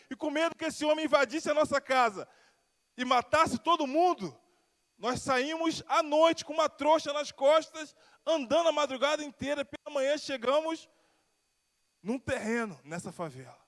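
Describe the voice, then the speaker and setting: A man with a Carioca accent preaches a sermon in a church, his voice sitting high for a man. He rises and sinks in volume, stretching out the words he wants to land, and a long hall reverb trails behind him. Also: fairly bright tone. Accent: Carioca Brazilian Portuguese. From Rio de Janeiro, Brazil. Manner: swells and drops in pitch and volume, drawing out key words. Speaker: pastor. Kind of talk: sermon with personal testimony. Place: church sanctuary.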